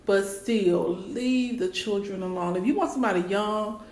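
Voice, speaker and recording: A woman's voice: mid-range voice, moderate pace, a little mellow. Podcast guest, female, frank and talkative, voice worn from a cold, echoey room. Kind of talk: casual podcast chat.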